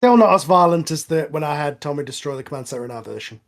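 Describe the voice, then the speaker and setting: A man with a fairly high-pitched voice gives casual commentary. He talks as a co-host in a relaxed, conversational watch-along style. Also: fast talker. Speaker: writer and co-host of a cast commentary.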